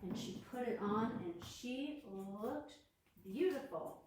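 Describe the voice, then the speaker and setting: A woman librarian reading a storybook aloud to children on a livestream, gentle and encouraging. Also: on the high side, animated, slow pace, a little hiss or hum. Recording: echoey room.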